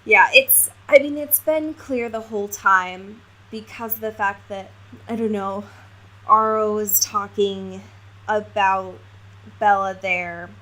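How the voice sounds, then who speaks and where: A woman's voice: medium pitch, takes it slowly, a bit bright, rough recording. A woman co-hosting a podcast in casual chat, talking in an emphatic, slangy, sweary way. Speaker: podcast co-host. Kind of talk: casual book-recap chat.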